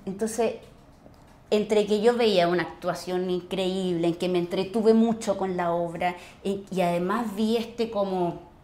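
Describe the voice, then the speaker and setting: A middle-aged woman with a Chilean accent tells personal stories conversationally, expressively and with searching pauses. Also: medium pitch, steady tone, warm tone. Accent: Chilean Spanish. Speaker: actress, interview guest.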